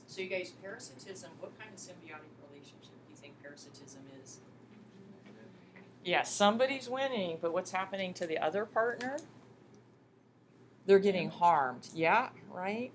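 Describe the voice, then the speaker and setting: A woman lecturing to a college class in a folksy, encouraging way, prompting students with questions as she goes. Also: deep voice. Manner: folksy, addresses students often as "you guys" and "folks"; encouraging, question-and-answer teaching. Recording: clearly noisy classroom recording.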